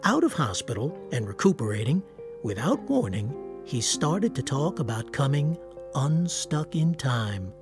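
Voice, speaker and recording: A man's voice: mid-range voice, sing-song, measured pace. An actor playing an earnest, wry war-veteran writer who tells his story in a radio drama. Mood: wry, reflective. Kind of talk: radio drama acting and literary narration. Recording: music under the voice. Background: music.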